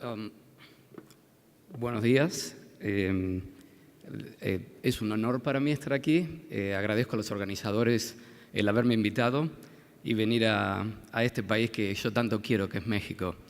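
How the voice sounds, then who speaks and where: A middle-aged man with an Argentine accent giving a medical conference lecture, halting with many fillers and restarts. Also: on the low side. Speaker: visiting hematologist speaker. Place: conference hall. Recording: long hall reverb.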